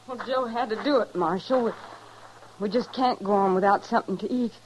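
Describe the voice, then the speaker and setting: An actress playing a weary, downtrodden homesteader wife with a rural American accent, plaintive and meek, in an old-time radio western. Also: female voice, mid-range voice, a little fast, a little mellow, natural voice. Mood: pleading, weary. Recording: noisy vintage radio recording.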